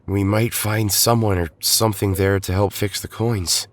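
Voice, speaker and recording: An actor playing an earnest, hesitant young hero in an audio drama. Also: male voice, lowish voice, fairly even intonation, normal speed, lightly acted. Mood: hopeful.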